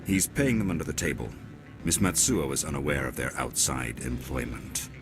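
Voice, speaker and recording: Suave, very deep old alien. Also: male voice, animated. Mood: sly. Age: older. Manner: measured, formal, sly politeness. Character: old turian alien office manager, suave, wry, courteous. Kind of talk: video game dialogue acting. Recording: music behind the voice.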